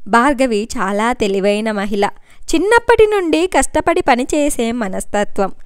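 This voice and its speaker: A woman's voice: on the high side, animated, normal speed, clear, resonant tone, dry recording, studio quality. A woman narrating a scripted family story in the manner of an audiobook.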